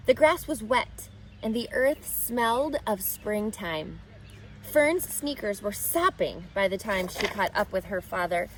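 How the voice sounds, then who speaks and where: A woman reading a children's novel aloud with expressive, animated narration. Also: mid-range voice, measured pace, slight background noise. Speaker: reader. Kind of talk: storybook read-aloud.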